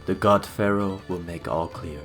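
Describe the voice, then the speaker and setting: A man acts a broad-shouldered temple vizier in his thirties for a fantasy audio drama. His voice is low and solemn, with the calm certainty of deep faith, over faint music. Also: moderate pace.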